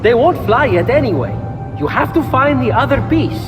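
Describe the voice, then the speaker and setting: Cheeky, high-voiced young trickster. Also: male voice, big pitch swings, moderate pace. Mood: glib, urgent. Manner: glib, breezy, persuasive. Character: quick-witted, cheeky, unapologetic young male trickster thief. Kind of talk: video game fantasy acting. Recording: reverberant sound, music behind.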